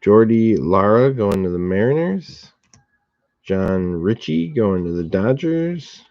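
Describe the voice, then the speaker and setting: Man, slightly hoarse, deep voice, dull lo-fi sound, card break host, steady commentary. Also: no echo. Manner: steady, unhurried listing of cards and recipients. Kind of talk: relaxed live box-break commentary.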